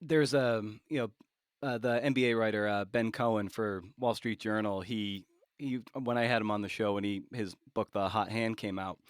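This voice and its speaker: Male podcast host, lowish voice, dry close sound, conversational, feels his way through long questions. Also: fairly even intonation, clear, resonant tone, no room sound. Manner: halting, rambling questions. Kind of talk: conversational interview.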